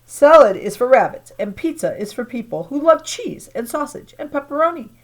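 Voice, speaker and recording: A woman reading aloud as a sharp-tongued, fed-up mother who drinks, lines delivered loud and emphatic. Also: high voice, big pitch swings, normal speed, pitched above the performer's own voice, rough recording. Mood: teasing.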